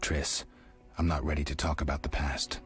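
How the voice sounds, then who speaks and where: Gruff game monster hunter, extremely deep voice, terse, deadpan, guarded, music behind. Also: male voice, moderate pace. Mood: subdued, tender. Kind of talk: video game voice acting.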